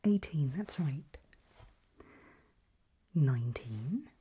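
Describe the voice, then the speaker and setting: A woman doing a whispered ASMR doctor roleplay, speaking breathily in gentle, reassuring, halting short phrases close to the microphone. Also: low voice, animated. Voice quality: breathy, mostly unvoiced whisper. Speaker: ASMR performer role-playing a doctor giving a memory test.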